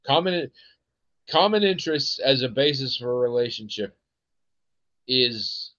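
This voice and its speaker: A man's voice: medium pitch, animated, unhurried, fairly bright tone, no echo, muffled recording. A man with an American accent hosting a podcast, chatting in a rambling, hesitant way with many fillers and restarts. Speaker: podcast host. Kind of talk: casual chat.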